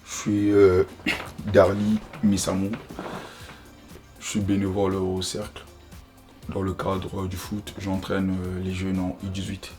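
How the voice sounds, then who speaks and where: A man's voice: low-pitched, monotone, slow pace, pauses often, a little mellow, soft background music. A man who coaches as a volunteer, giving an interview testimonial. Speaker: volunteer youth football coach.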